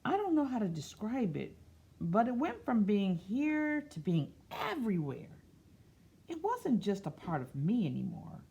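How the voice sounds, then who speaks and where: A woman reading a picture book aloud expressively, slipping into quieter, musing, conversational asides. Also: medium pitch, big pitch swings, measured pace, vocal fry, dull audio, slight background noise. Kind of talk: expressive read-aloud with soft, chatty asides.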